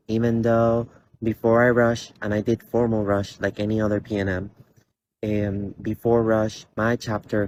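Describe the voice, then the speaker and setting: A young man in his twenties from Costa Rica gives an earnest, halting personal statement with many fillers and restarts, standing in a large indoor theater. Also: fairly low pitch, a little mellow, slight background noise, rough recording. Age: 20s. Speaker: college student.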